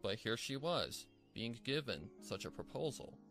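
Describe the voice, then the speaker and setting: A man's voice: mid-range voice, very animated, measured pace, over music. A man narrating a scripted fan fiction story as a storybook narrator.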